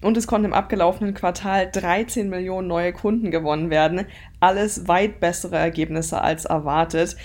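A woman's voice: on the low side, steady tone, brisk, a little mellow. A woman giving a news report as a financial markets correspondent.

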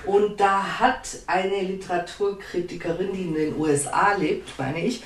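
A woman's voice: fairly low pitch, steady tone, moderate pace, reverberant, a little hiss or hum. A woman publisher answering interview questions in a hesitant, conversational way with frequent fillers and restarts.

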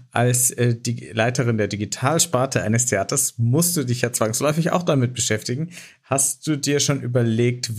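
A man hosting an interview, asking long, winding questions in a measured way. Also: mid-range voice. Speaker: interviewer, host.

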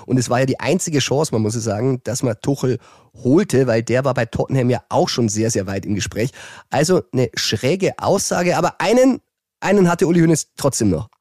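A man with a mellow, warm voice hosts a casual sports podcast. He sounds wry and teasing and likes a pointed question. The recording is close and dry. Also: medium pitch, expressive, normal speed, dry recording. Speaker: podcast host.

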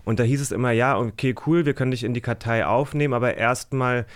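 A man's voice: mid-range voice, fast talker. Hesitant man chatting as a podcast guest. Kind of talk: casual interview chat.

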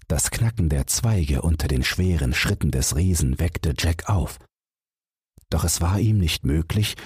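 A man's voice: low voice, a little mellow, breathy edge, dry recording, clean studio sound. A man narrating a fairy tale audiobook as a scripted bedtime story.

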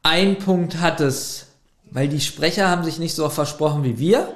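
Male podcast co-host, animated and argumentative, slightly high voice, echoey room. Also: moderate pace, a little hiss or hum. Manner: animated, argumentative, laughs often. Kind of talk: casual chat, audio drama review.

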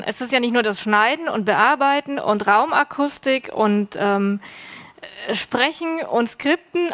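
Höhere Frauenstimme, Teilnehmerin, lange verschachtelte Sätze. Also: female voice, on the high side. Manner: long run-on sentences with fillers. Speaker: workshop participant. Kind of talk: panel discussion answer.

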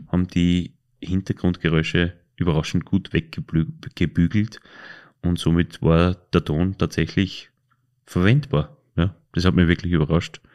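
A man with an Austrian accent and a low-pitched voice talks as a podcast host. He speaks casually and colloquially, feeling for his words and often correcting himself as he goes. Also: darkish tone. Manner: hesitant, self-correcting, colloquial. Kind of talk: casual podcast chat, telling anecdotes.

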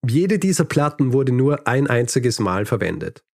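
A man's voice: medium pitch, sing-song, moderate pace, gravelly, darkish tone, dry recording. A man hosting a podcast, telling history in a conversational, explanatory way with light dry humour. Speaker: podcast host.

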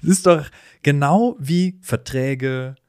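A man co-hosting a casual, humorous podcast conversation, explaining things in an animated way and often chuckling as he talks. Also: fairly high pitch, takes it slowly, smooth, no echo, studio quality. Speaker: podcast co-host.

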